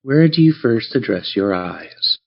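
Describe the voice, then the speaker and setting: A man running a tabletop game as game master, narrating in a theatrical, measured storytelling manner. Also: mid-range voice, very animated, gravelly, a little hiss or hum. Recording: dull lo-fi audio.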